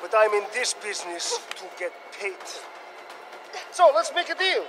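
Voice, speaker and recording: An actor playing a smug, calculating mercenary villain in a video game, with a taunting, condescending manner. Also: male voice, very animated, moderate pace. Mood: taunting, bargaining. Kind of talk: video game cutscene acting. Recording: game effects and rumble behind voice.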